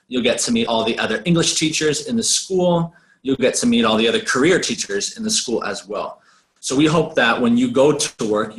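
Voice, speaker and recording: Man, mid pitch, casual webinar talk, roomy echo. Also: fairly bright tone. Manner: loose and conversational, hedging words. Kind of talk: webinar briefing.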